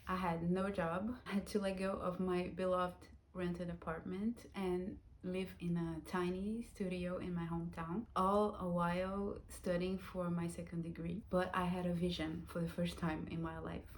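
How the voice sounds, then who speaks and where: A woman in her thirties from Brazil, telling her own life story in a reflective, soft-spoken vlog, with Portuguese as her first language. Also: lowish voice, flat delivery, measured pace, slight background noise. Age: 30s. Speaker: vlogger.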